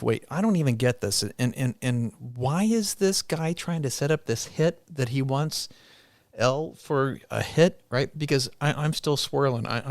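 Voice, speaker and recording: A man co-hosting a movie review podcast in casual chat, speaking haltingly with repeated restarts. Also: medium pitch, creaky, no echo, studio quality. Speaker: podcast co-host.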